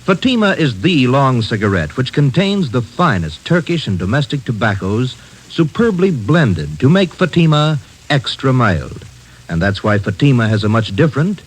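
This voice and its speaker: Smooth, lively 1950s radio ad announcer. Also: male voice, medium pitch, moderate pace, poor audio. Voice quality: smooth, persuasive announcer delivery. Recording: old radio broadcast with music.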